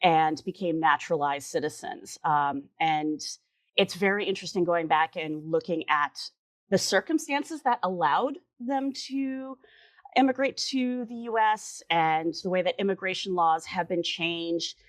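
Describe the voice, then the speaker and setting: A woman's voice: lowish voice, measured pace. A woman in her forties from the Chicago suburbs, giving an earnest, articulate interview answer with emphatic repetitions. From Chicago suburbs, US Midwest. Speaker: interview guest, writer and editor.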